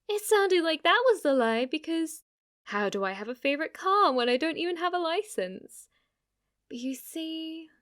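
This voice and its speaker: A woman playing a playful, possessive, lovestruck witch in an ASMR roleplay, speaking to the listener in a teasing, cutesy way. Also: sits high. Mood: gloating, teasing. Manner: teasing, cutesy, swinging between coy murmurs and eager outbursts.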